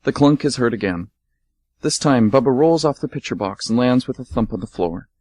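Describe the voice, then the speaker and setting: A man narrating a scripted radio play in a calm, measured way. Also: medium pitch, dry recording.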